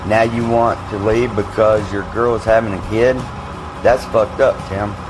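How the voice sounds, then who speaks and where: A man's voice: lowish voice, fairly even intonation, unhurried, natural voice. A man acting in an audio drama as a hardened, pragmatic fighter with a blunt, matter-of-fact manner. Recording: clear engine and vehicle noise behind.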